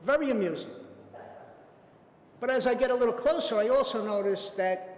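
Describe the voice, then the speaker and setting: A man telling a personal anecdote at a theater event, warmly amused and lingering on the punchlines. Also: very high voice, normal speed. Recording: echoey hall, faint ambience.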